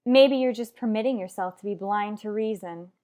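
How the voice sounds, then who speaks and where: A woman's voice: mid-range voice, normal speed, dark tone, natural voice. A woman playing a headstrong married mother in a radio soap, lecturing her rival bluntly and emphatically. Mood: blunt, insistent. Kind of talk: radio soap opera acting.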